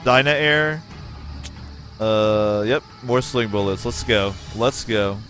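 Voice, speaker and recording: An American man streaming a game, giving excitable, profane play-by-play and chatting with viewers. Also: fairly low pitch, takes it slowly, over music. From United States. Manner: excitable, profane, talks to chat. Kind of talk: casual gameplay commentary.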